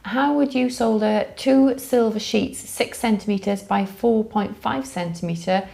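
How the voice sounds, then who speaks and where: A woman's voice: medium pitch, measured pace, vocal fry, darkish tone. A woman co-host reading questions aloud in a casual way, recorded away from the microphone in a roomy space. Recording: roomy, noisy, away from the mic.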